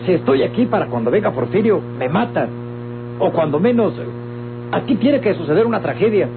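A man's voice: sits high, very animated, fairly quick. An actor playing a crafty old captive man in a Mexican radio drama, with a theatrical, pleading and protesting delivery and a Mexican accent. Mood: aggrieved. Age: older. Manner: theatrical, groaning, begging and protesting. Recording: muffled old radio recording with loud hum.

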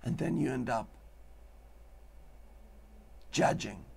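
Gravelly man declaiming on a talk show. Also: mid-range voice, animated, slow, heavy background noise. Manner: dramatic, declaiming in lists. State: losing his voice. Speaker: show host. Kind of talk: rambling philosophical monologue. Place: studio with green-screen backdrop.

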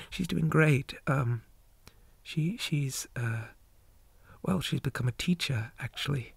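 A man playing a shy, polite zombie boy in an ASMR roleplay, speaking softly, often in whispers, hesitant and flustered with old-fashioned manners. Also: fairly high pitch, heavily acted. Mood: shy, tender. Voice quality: soft, breathy, often whispered.

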